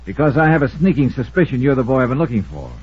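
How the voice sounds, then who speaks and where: An American man acting a brisk, commanding, hard-boiled investigator in an old-time radio detective drama, also narrating it. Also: mid-range voice, lively intonation, a little hiss or hum, natural voice. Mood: accusing, forceful. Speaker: lead actor and narrator of a radio detective serial. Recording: muffled old radio recording.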